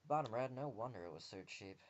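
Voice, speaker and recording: A man's voice: mid-range voice, lively intonation, fast talker, highs cut off. A young man reading a visual novel aloud as a let's player, expressively, with casual wry asides. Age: young adult.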